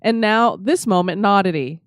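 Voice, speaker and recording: A woman's voice: mid-range voice, animated, normal speed. A middle-aged woman with a stuffy summer cold reads her scripted podcast narration as host.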